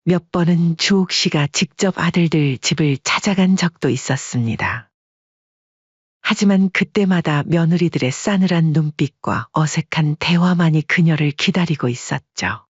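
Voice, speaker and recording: A man narrating a family story in calm, measured audiobook style. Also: a little high, husky, no room sound, lo-fi sound.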